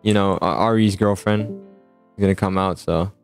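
A man's voice: low-pitched, fairly even intonation, normal speed, clear, resonant tone. A man chatting casually in an improvised game roleplay, often stammering and repeating his words. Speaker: host of a roleplay gaming video. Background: music.

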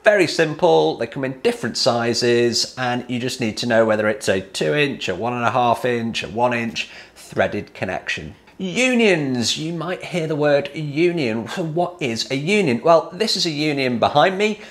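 A man's voice: expressive, moderate pace, few pauses, fairly bright tone. A man in his forties explains hot tub pipe fittings to camera, taking them one at a time and lifting his voice at each new heading. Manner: plain step-by-step, lifts pitch on each new heading.

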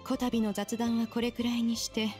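An actress playing an ancient, haughty crane spirit who speaks in lofty, old-fashioned, measured phrases in a video game. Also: female voice, a little high, little pitch movement, a little mellow, soft background music, natural voice. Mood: composed. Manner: archaic, lofty, measured speech. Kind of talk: Japanese game voice acting.

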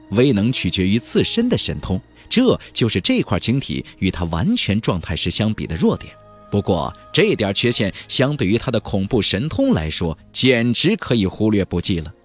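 A man narrating a fantasy audiobook as scripted storytelling, with an expressive, emphatic and dramatic delivery. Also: mid-range voice, no room sound.

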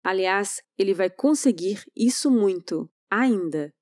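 A woman's voice: mid-range voice, expressive, takes it slowly, darkish tone, no room sound, studio quality. A woman narrating a scripted video essay in a polemical, emphatic manner. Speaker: channel narrator.

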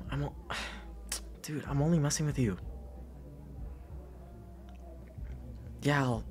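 A young man playing a caring, teasing, shy best friend in an intimate ASMR roleplay, speaking softly and hesitantly. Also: mid-range voice, lightly acted. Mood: warm teasing. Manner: soft, hesitant, stammering, breathy sighs and chuckles.

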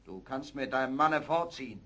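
Old fairy-tale king, male narrator in role, husky, angry, commanding father with emphatic delivery. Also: medium pitch, expressive, normal speed, fairly bright tone, voice pushed up. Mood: stern anger.

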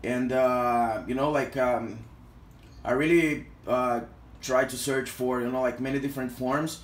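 A man's voice: mid-range voice, steady tone, unhurried. A man from Brazil with a Brazilian accent, reviewing gear casually and hesitantly, with lots of fillers. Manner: hesitant, heavy use of fillers. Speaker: product reviewer. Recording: echoey room sound.

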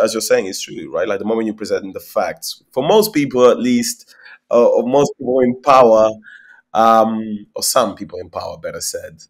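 A young man from Spain, a company founder, talking at length in a video call interview and often repeating words as he thinks. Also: on the low side. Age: young adult. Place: small room at home, indoors. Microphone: laptop webcam mic on a video call.

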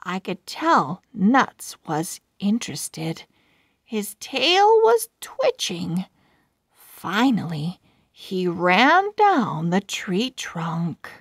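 A woman reading a children's book aloud as a storyteller, in a deliberate, expressive manner with careful enunciation. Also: on the low side, breathy edge, no room sound, clean studio sound.

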